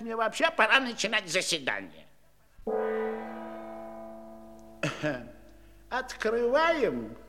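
A man's voice: very high voice, pushed and bright, full character voice. An actor playing a fussy, pompous, condescending old council chairman, a living number, in a theatrical children's audio play. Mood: pompous, declaiming.

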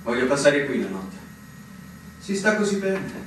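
An actor playing a young, newly commissioned army lieutenant, polite, eager and unsure, in a theatrical radio drama. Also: male voice, medium pitch, very animated, unhurried, fairly bright tone. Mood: wistful, weary. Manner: formal, deferential to superiors. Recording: distant, very echoey, noisy stage recording.

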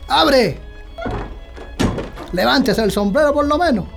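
An actor playing a gruff, suspicious Chilean countryman with a creaky voice in a radio drama. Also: very high voice, lively intonation, takes it slowly, exaggerated voice. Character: gruff, suspicious Chilean peasant husband with a gun. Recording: music behind the voice.

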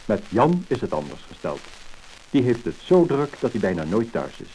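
A man with a Netherlands Dutch accent reading a scripted 1950s narration in a measured, formal manner. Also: lowish voice, sing-song, mellow. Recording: crackly, hissing mid-century disc recording.

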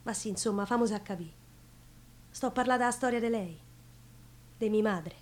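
A woman's voice: mid-range voice, moderate pace, close to an everyday voice. An actress playing a chatty, wistful Roman woman who tells stories on stage, rambling colloquially to her audience with a Roman accent. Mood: tender, guarded. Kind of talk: theatrical monologue in dialect.

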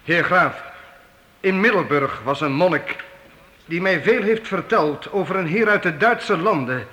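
An earnest, courtly young knight, high and very bright in voice. He declaims formally and boldly in an echoey, noisy old radio drama recording. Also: animated, measured pace, heavily acted. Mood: respectful, earnest. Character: bold, earnest young knight dressed as minstrel. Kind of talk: radio play acting for youth.

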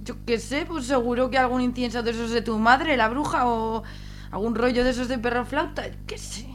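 A woman's voice: fairly high pitch, steady tone, a little fast, slight background noise, natural voice. A woman playing a prudish, whiny but doting young girlfriend in a comic radio serial, with an accent from Spain. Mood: indignant. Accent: Spanish, from Spain. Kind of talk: comedic radio serial acting.

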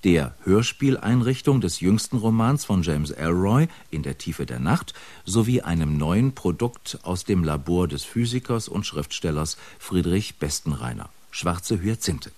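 A man announcing upcoming radio crime dramas in a smooth, scripted trailer narration. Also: low voice, animated, measured pace, darkish tone, dry recording.

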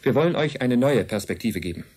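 An actor in a German radio drama playing a calm, earnest envoy of an advanced race, speaking in a measured, matter-of-fact way. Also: male voice, mid-range voice, close to an everyday voice. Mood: earnest, explanatory.

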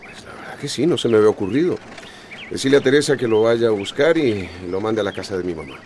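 A man playing a worried, quick-tempered middle-aged son and husband with a Costa Rican accent, exasperated and emphatic, in a radio drama. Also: fairly low pitch, lively intonation, a little mellow, a little hiss or hum.

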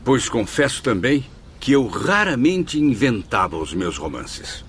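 Proud flattering writer ghost, radio play, theatrical, very lively pitch, slight noise behind. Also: male voice, unhurried, vocal fry, heavily acted. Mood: courteous, eager. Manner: florid, formal, theatrical. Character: spirit of a proud, flattering Portuguese male novelist. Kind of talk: radio drama acting.

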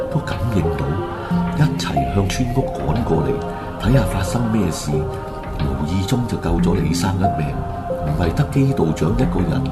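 A man with a Hong Kong Cantonese accent narrating a scripted radio drama story. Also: low-pitched.

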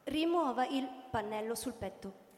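A woman's voice: on the high side, animated, moderate pace, darkish tone, close to an everyday voice. A woman playing a formal, dispassionate diagnostic computer with precise, procedural delivery in a radio drama. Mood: formal, detached. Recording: very echoey hall.

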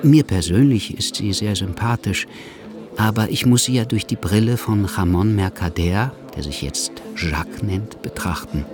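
A man narrating a literary radio drama in a measured, storytelling manner. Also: lowish voice, music in the background, natural voice.

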